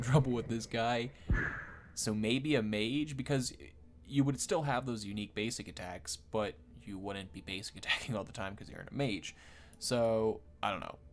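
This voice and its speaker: A man hosting a gaming video, explaining things in a casual, unscripted, rambling conversational way. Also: fairly low pitch. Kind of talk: casual unscripted game commentary.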